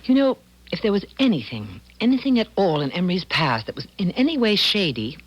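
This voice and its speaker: An actress playing a fretful, plain-spoken small-town doctor's wife in a 1970s radio drama, confiding and folksy. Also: female voice, fairly low pitch, sing-song, brisk, highs cut off. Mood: worried, confiding. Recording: 1970s radio broadcast recording.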